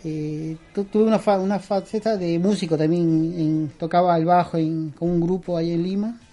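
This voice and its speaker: A man's voice: sits high, fairly even intonation, normal speed, warm tone. A middle-aged man from Peru with a Peruvian Spanish accent, a guest chatting in a casual interview, rambling with many fillers and restarts. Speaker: guest, costume and prop maker.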